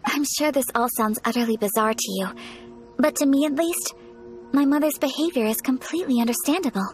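A woman voicing a poised, gentle young noblewoman in a video game, soft-spoken and formal. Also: high-pitched, fairly quick, lightly acted. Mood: earnest. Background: faint game music.

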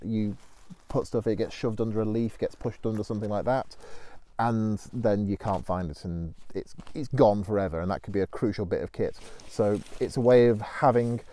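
A man from Britain in his thirties gives a casual gear review while sitting in autumn woodland. His voice sits a little low and sounds mellow and unforced. He talks in a practical, easygoing way, often pausing on "um" and restarting his sentences. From United Kingdom. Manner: relaxed, practical, with frequent ums and restarts. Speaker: outdoor skills instructor reviewing gear. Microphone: camera at a distance, seated on the ground.

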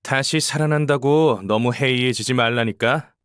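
A woman's voice: very deep voice, moderate pace, no echo, studio quality. A woman playing a cocky young military announcer in a game, informal and blunt, with teasing banter. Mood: nonchalant. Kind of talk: scripted game voice acting, battle commentary.

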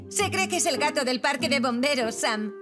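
High, lively cartoon helper. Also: female voice, measured pace, over music. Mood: helpful. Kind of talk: animated children's series dub.

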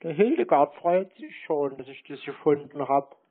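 Man putting on a dim, high-pitched naive caller. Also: expressive, slightly rough. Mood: eager. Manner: naive, rambling, feigned slowness. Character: dim, eager, provincial German man pranking phone scammers. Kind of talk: prank phone call. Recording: narrow, tinny phone-like sound.

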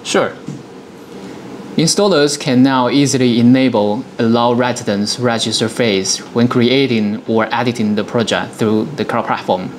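A man in his thirties presenting a software demonstration, explaining things step by step in a dialogue. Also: mid-range voice, steady tone, measured pace, slight background noise. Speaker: product presenter.

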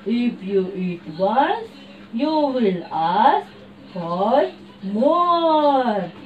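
A sly french fries puppet, put on by a woman, low and tempting. It drawls very slowly, recorded from across a room. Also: very animated, echoey room, highs cut off, slight background noise, full character voice. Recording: distant room mic.

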